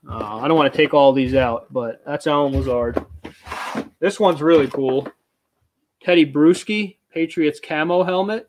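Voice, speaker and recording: A man's voice: on the high side, darkish tone, slight background noise. A man in his thirties hosting a casual live auction stream from a small storage room, chatting and reading viewer comments aloud as he moves around, sometimes stepping away from the webcam mic. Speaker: auction livestream host. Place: small storage room full of shelves, indoors. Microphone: webcam mic at desk, sometimes farther away.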